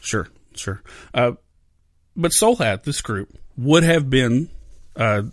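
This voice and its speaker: A man's voice: mid-range voice, animated, slow pace, no room sound, clean studio sound. A man co-hosting a music podcast, enthusiastic and prone to repeating words as he starts sentences. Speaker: podcast co-host. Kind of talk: casual chat.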